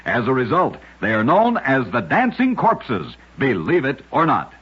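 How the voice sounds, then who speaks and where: A man hosting a radio program, reading scripted narration of curious facts in a measured, emphatic storyteller's delivery. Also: mid-range voice, huge pitch swings. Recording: muffled, lo-fi radio recording.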